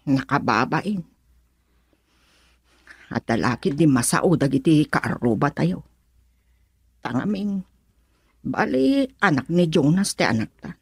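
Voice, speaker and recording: A worried middle-aged Ilocano mother in a radio drama. Her voice sits fairly low and is subdued and serious, recorded dry and close. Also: sing-song, slow pace, long pauses, darkish tone, husky, no echo, exaggerated voice. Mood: embarrassed, serious. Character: worried middle-aged wife and mother, concerned about gossip.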